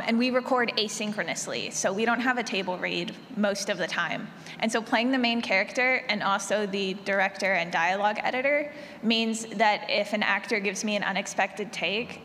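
A woman's voice: mid-range voice, a bit bright. Woman explaining on a panel, echoey hall. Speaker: panelist, audio drama creator. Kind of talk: explanatory unscripted panel talk. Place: large panel room at a convention. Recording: echoey hall, noisy, roomy pickup.

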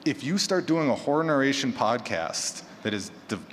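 A man's voice: mid-range voice, lively intonation, normal speed. Encouraging male panelist in an echoey hall. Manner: encouraging, emphatic, casual swearing. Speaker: panelist and podcaster. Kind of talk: informal motivational panel talk. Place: large convention room with audience. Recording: echoey hall PA, noisy room.

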